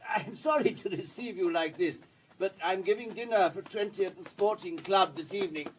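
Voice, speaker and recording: A man's voice: high voice, moderate pace, slightly rough, rough recording. An actor playing a wealthy, urbane society man in a radio drama, breezy, courteous and talkative. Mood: breezy, hospitable.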